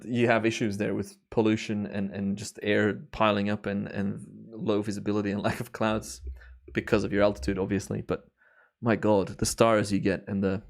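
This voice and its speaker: A slightly hoarse young man from Belgium, chatting casually with viewers while reviewing his timelapse footage on a live stream. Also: fairly low pitch. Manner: relaxed, rambling, chatty with viewers. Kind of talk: relaxed live stream commentary.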